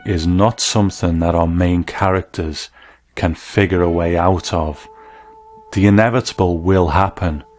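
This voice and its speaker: Male documentary host. Scripted explanatory voice-over, light music bed underneath. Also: low-pitched. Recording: voice-over with faint music behind. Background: faint music.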